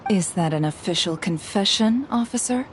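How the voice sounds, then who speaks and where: A woman's voice: medium pitch, animated, normal speed, lightly acted. An actress playing a cool, wry adult female agent in a game, with a dry and teasing way of talking. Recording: game dialogue over loud music.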